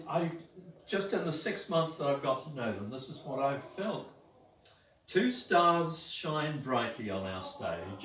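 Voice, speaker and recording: A man's voice: medium pitch, fairly bright tone. A man reciting a rhymed tribute poem at a party, hesitant with fillers when he speaks freely. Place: party in a roomy hall. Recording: echoey room from far off, faint background noise.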